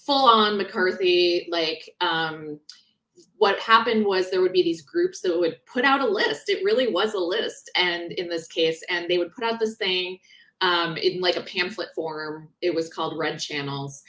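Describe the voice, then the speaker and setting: A woman author talking in a conversational interview, explaining things in an animated, informal way. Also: fairly low pitch, a little slow. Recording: roomy, echoing sound.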